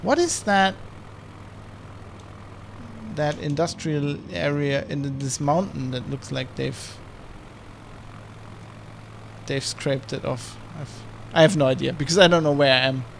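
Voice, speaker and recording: A man's voice: on the high side, measured pace, vocal fry, long pauses, a little hiss or hum. A man giving rambling, casual commentary while streaming a flight sim. He restarts and corrects himself often. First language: German.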